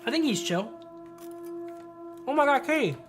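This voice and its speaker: A man's voice: extremely high pitch, sing-song, moderate pace. Man live-streaming a game over music. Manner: slangy, profane, addresses viewers. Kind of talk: casual live-stream chat and read-aloud of a visual novel. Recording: music under the voice.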